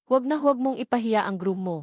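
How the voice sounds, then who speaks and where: A woman's voice: a little high, fast talker, dry recording, clean studio sound, voice pushed up, natural voice. A woman with a Filipino accent voicing a bride's teasing, admiring younger sister in a storybook narration. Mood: teasing reassurance. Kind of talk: acted dialogue in a storybook narration.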